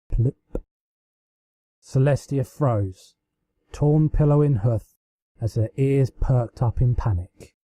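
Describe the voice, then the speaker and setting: A man's voice: medium pitch, dark tone, no room sound. A man reading fan fiction aloud as a dramatic storybook narration. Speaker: amateur fan fiction reader.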